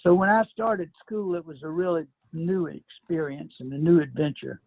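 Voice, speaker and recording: Older man, gravelly and husky, fond school memories, dry phone-line sound. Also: fairly high pitch, moderate pace, no room sound. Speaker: panel guest. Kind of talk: personal storytelling.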